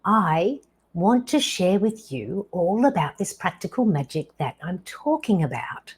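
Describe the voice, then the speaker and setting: Woman in her 50s, lowish breathy voice, drawn-out storytelling w/ long pauses. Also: big pitch swings. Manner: slow, deliberate delivery with dramatic pauses. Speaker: podcast host. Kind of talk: personal storytelling monologue.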